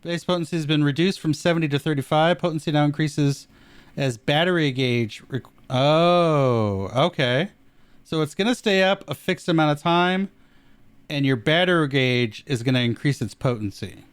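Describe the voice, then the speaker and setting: A man's voice: on the high side, moderate pace, clear, resonant tone, no echo. A man co-hosting a casual gaming podcast, reading notes aloud with easygoing asides. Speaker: podcast co-host.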